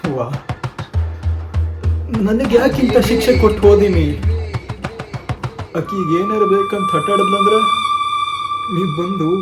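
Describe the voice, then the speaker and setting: An actor playing a gentle young older brother who soothes his sister and grieves for his mother. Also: sits high, a little slow, pauses often. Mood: grieving. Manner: soothing, sorrowful. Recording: music playing behind.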